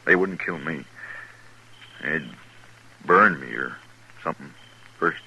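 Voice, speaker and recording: A man's voice: rumbling low, slow pace, pushed and bright, slightly rough, heavily acted. A man playing a nervous, scheming American gambler with an edgy, urgent manner in a western radio drama. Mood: fearful, brooding. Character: shifty, nervous frontier gambler turned informer and schemer. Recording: noisy vintage radio recording.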